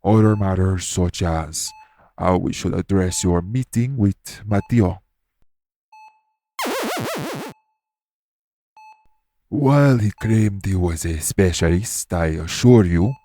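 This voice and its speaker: A man playing a calm, formal official of a secret organization in an audio roleplay, speaking in a measured, matter-of-fact way to the listener. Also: low voice. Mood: firm, reassuring.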